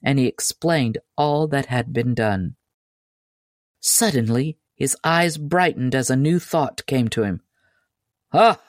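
A woman's voice: extremely low pitch, measured pace, no room sound. Woman narrating a storybook, close and dry. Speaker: audiobook narrator.

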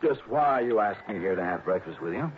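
An actor playing an uneasy, suspicious suspect with halting politeness in a 1960s radio drama. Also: male voice, on the low side, lively intonation, lightly acted. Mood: polite, curious. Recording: tinny, noisy old radio recording.

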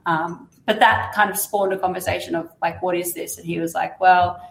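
A hoarse, croaky Australian woman sits low in her range with a gravelly edge. She chats casually and laughs at herself a lot, and the room adds a noticeable echo. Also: fairly even intonation, moderate pace. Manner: chatty, laughs often, self-deprecating. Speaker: fund manager guest. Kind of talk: casual interview chat.

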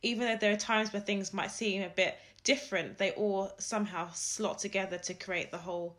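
A woman's voice: mid-range voice, steady tone, normal speed, a bit bright. Earnest woman co-host, encouraging. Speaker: podcast co-host. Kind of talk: warm reflective conversation.

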